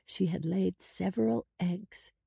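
A woman voicing a protective ten-year-old big sister, speaking gently, softly and patiently in an audiobook. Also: lowish voice, slow pace, vocal fry, husky, dry recording, studio quality, pitched above the performer's own voice, heavily acted. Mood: gentle storytelling. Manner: soft, soothing, patient.

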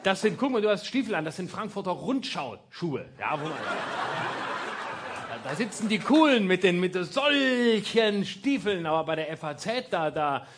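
A male stand-up comedian on stage, speaking with a slightly raised, very lively pitch. His delivery is sarcastic and teasing, with the audience laughing along in a live venue. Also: moderate pace, background noise. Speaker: stand-up comedian hosting a show.

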